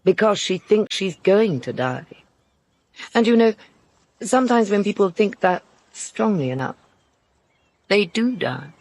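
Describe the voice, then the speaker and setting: A woman's voice: mid-range voice, expressive, unhurried, pauses often, a little mellow, no room sound. Bitter servant woman, insistent, pointed, menacing, close dry sound, radio drama. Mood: quietly menacing.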